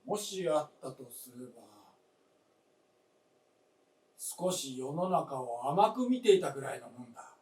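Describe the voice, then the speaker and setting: An actor playing a world-weary, self-doubting old widower in a staged radio drama, with brooding, terse, old-fashioned husbandly speech. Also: male voice, fairly high pitch, lively intonation, normal speed, a little mellow, close to an everyday voice. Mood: probing, wry. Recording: echoey stage, distant mic.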